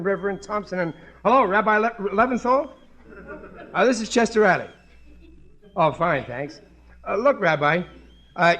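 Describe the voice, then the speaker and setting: A man's voice: high-pitched, a bit bright. A man playing a blustering, gullible, eager working-class father in a 1950 radio sitcom, stammering and ungrammatical. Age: middle-aged. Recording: vintage 1950 radio recording.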